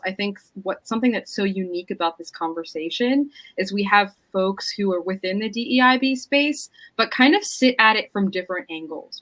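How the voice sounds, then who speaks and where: An upbeat woman moderates an online panel over a video call. She keeps her tone informal and easygoing and fills the gaps with "um" and "so". Her voice sits in a middle pitch. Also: fairly bright tone. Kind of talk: hosting a webinar panel.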